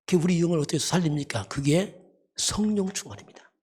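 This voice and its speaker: A pastor giving a sermon in an emphatic, repetitive teaching manner, often posing rhetorical questions, with a husky voice. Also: mid-range voice, animated, a little fast, darkish tone. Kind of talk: dawn service sermon.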